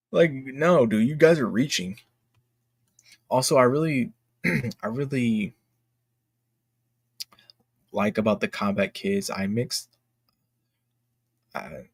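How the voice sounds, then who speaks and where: A man's voice: lowish voice, husky, dry recording. Casual man streamer with a dry, close sound. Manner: opinionated, informal, emphatic, slangy "dude" and "bro". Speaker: livestream host. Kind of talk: casual livestream chat about games.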